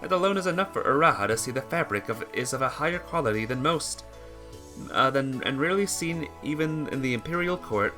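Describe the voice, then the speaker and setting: A man's voice: medium pitch, expressive, a little fast, music in the background. A man reading a visual novel aloud as amateur narration, sometimes stumbling and rereading phrases.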